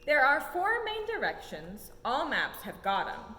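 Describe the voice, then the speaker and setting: A young adult woman reads a rhyming children's picture book aloud in an expressive, sing-song way with emphatic swoops. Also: unhurried. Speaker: story time reader at a museum. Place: indoor museum exhibit room. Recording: echoey room with low rumbling noise.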